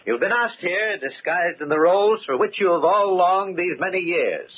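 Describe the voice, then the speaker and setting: Grave accuser, formal, deliberate, dramatic, wide-swinging pitch, vintage radio drama, muffled recording. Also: male voice, lively intonation, husky. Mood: grand, ceremonious. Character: grave, formal masquerade host and accuser, man. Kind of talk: 1940s radio mystery acting. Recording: muffled, faintly noisy old radio recording.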